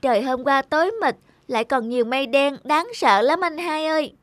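A woman's voice: high-pitched, normal speed, no room sound, close to an everyday voice. A woman voicing a small, affectionate little sister in a children's cartoon, with a childlike, pleading manner. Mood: eager, chatty. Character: small injured little girl, sweet and affectionate younger sister.

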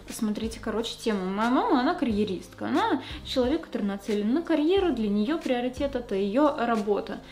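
Fast-talking young woman, slangy and candid. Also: on the high side, animated, darkish tone. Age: young adult. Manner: talks while doing her makeup. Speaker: vlogger. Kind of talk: casual confessional chat to camera. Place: small room indoors.

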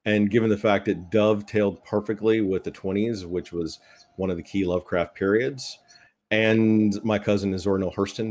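A man in his forties giving a measured explanation as a panelist on a video call. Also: deep voice, darkish tone. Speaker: game writer, panelist. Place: home room on a video call.

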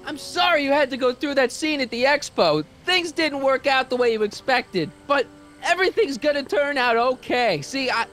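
Earnest teen game hero, very high voice, lively pitch, hesitant, casual, pleading. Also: male voice, moderate pace, soft background music. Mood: worried, urgent. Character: earnest, worried teenage boy, a loyal friend. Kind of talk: animated video game acting.

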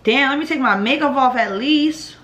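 A woman's voice: moderate pace, a bit bright. Blunt, foul-mouthed young woman vlogger. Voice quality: deep voice. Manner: blunt, profane, laid-back with laughs. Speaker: vlogger answering viewer questions. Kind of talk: casual Q&A vlog. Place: living room at home, indoors.

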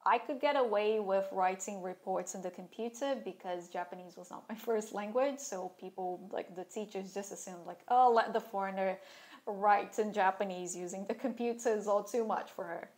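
A woman's voice: medium pitch, normal speed, a little mellow. A woman in her thirties speaking candidly and wryly in a casual talking-head vlog, seated at a desk in a small room. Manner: candid and wry, with sarcastic asides. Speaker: vlogger sharing her fashion school experience.

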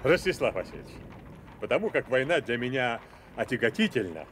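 An actor playing a loyal, earthy Cossack servant with folksy, deferential speech in a radio play. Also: male voice, mid-range voice, big pitch swings, fairly quick, a bit bright. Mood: hearty, respectful. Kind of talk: Soviet radio play acting. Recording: noisy vintage Soviet radio drama recording.

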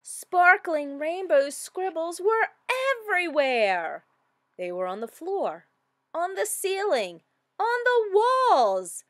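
A woman reading a storybook as an earnest, excitable little girl, with dramatic expression. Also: very high-pitched, takes it slowly, no room sound, studio quality, pitched above the performer's own voice, full character voice. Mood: amazed. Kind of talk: scripted storybook narration.

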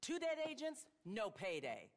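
A woman's voice: mid-range voice, wildly swooping pitch, measured pace. A woman acting a stern, blunt, exasperated middle-aged government boss in a video game. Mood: brisk, firm. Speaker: government official.

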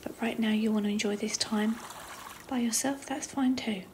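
A woman leading a guided visualisation meditation in a soft, breathy, half-whispered voice with slow, unhurried phrasing. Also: fairly high pitch, fairly even intonation. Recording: clearly noisy, trickling water ambience.

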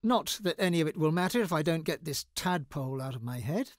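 A bookish fantasy game wizard played by a man, wry and guarded with an anxious edge. His delivery is articulate and very lively in pitch. The sound is dry and close. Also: fairly quick, no room sound, natural voice. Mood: earnest, troubled. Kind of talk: video game voice lines.

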